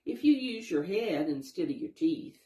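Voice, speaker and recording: A woman voicing a brisk, sensible female animal who bakes, speaking in a scolding, no-nonsense way for a children's story. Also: on the low side, lively intonation, warm tone, lightly acted. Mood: matter-of-fact. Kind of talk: children's storybook read-aloud. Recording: roomy, echoing sound.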